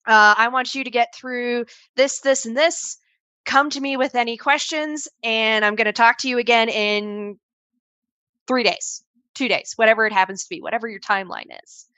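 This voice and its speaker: A woman's voice: fairly high pitch, fairly even intonation, normal speed, bright, forceful tone, no room sound. A woman on a video call giving conversational advice on training assistants, often acting out quoted examples. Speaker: panel guest advising on assistant training.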